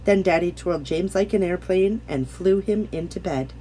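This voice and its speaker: A woman reading a children's picture book aloud, gently and deliberately, with dramatic pauses. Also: fairly low pitch, slight background noise, rough recording. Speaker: storybook reader. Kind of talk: expressive children's bedtime read-aloud.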